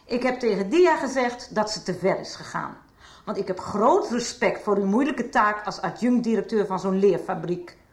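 A woman's voice: mid-range voice, lively intonation, normal speed, reverberant, natural voice. An actress playing an assertive middle-aged mother in a radio soap, firm and formally polite as she stands her ground. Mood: firm, combative. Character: assertive divorced mother standing up to a school official. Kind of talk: 1990s radio soap acting.

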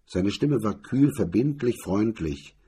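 A man's voice: fairly low pitch, lively intonation, a little slow, mellow, husky. A man narrating a scripted crime story as its reader.

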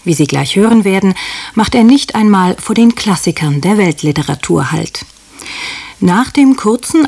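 A female radio presenter reads a prepared introduction to a drama. Her voice is on the low side and husky, in a studio announcer's style. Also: a little mellow. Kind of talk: scripted introduction to a radio play.

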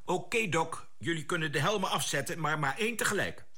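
A man's voice: fairly high pitch, lively intonation, a little fast, pushed and bright. A man acting a composed, decisive spaceship commander in a science fiction radio drama, with an authoritative, businesslike delivery.

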